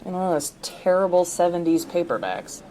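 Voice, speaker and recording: A skeptical young woman with a lowish voice, bluntly sarcastic and easily exasperated. It is audio drama banter with faint noise behind her. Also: sing-song, brisk, warm tone, poor audio. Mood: dry, dismissive.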